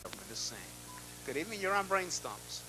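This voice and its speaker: A man hosting a live call-in show, gruff and dismissive as he fields his callers in an exasperated way. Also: high voice, big pitch swings, moderate pace, over music. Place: public-access TV studio. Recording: buzzy, noisy public-access TV audio.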